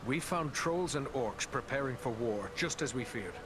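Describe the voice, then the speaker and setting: An actor playing an earnest, courteous human ranger hero in a fantasy game, speaking formally. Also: male voice, mid-range voice, lively intonation, moderate pace, background noise, lightly acted. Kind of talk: fantasy game cutscene acting.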